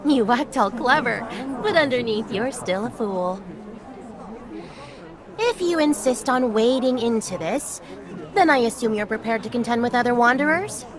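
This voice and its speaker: Woman acting a high, teasing wanderer girl. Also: animated, moderate pace, a crowd in the background, lightly acted. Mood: teasing, probing. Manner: teasing, cheeky. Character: sly, teasing, bold female wanderer. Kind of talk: video game cutscene acting. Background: background music.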